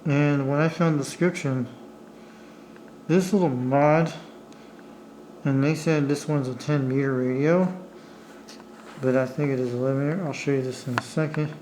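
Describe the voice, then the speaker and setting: A man giving a casual, unscripted show-and-tell in a halting, apologetic way, as a hobbyist talking while he handles his camera. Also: a little high, fairly even intonation, a little mellow, a little hiss or hum, poor audio. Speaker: amateur radio hobbyist showing a device. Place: indoors at a table. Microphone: handheld camera's own microphone.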